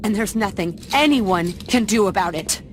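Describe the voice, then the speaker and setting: A woman's voice: medium pitch, expressive, normal speed, close to an everyday voice. A woman acting a haunted, determined warrior in a video game, curt and intense with a defiant edge. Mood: bitter, defiant. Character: embittered, determined female warrior, haunted but resolute. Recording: clear background noise and ambience.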